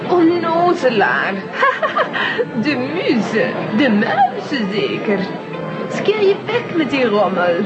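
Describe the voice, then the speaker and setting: An actress playing a self-assured, scornful café proprietress in a children's audio drama, mocking and emphatic. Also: female voice, high voice, sing-song, slow pace, heavily acted. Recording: dull recording, loud music and chatter behind.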